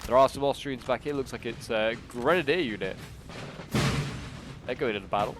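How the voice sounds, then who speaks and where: A man's voice: mid-range voice, normal speed, music in the background. A man with a stuffy, bunged-up voice from a cold, chatting casually through a strategy game battle and thinking aloud with many fillers. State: congested with a cold. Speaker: game commentator. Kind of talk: casual gameplay commentary. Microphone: new desktop microphone. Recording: game battle sounds behind. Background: gunfire and artillery from the game.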